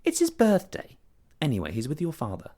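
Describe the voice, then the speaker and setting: A man's voice: a little high, huge pitch swings, a little fast, mellow, voice pushed up. A man reading a story and voicing the anxious mother of a young family. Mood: insistent. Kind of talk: character dialogue in a story reading.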